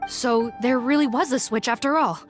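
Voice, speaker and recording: A woman's voice: fairly high pitch, moderate pace, music in the background, close to an everyday voice. A woman playing a businesslike, protective female officer in a video game, formal and firm in manner. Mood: resigned, frustrated. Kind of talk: scripted video game voice acting.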